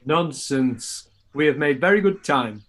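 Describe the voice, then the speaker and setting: A man playing a hearty, good-humoured middle-aged brother in a period radio play, speaking briskly. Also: a little high, lively intonation, a bit bright.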